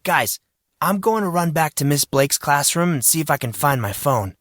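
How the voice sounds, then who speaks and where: A man's voice: fairly high pitch, lively intonation, moderate pace, fairly bright tone, dry recording, studio quality, pitched above the performer's own voice. A man voicing a wry, mild, slightly distracted fourteen-year-old boy in an audiobook story. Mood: preoccupied.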